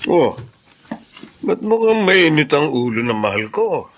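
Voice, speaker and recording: A man playing a middle-aged father who dotes on his partner in a Filipino radio drama, questioning and animated. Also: on the high side, measured pace, exaggerated voice. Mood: surprised, agreeable.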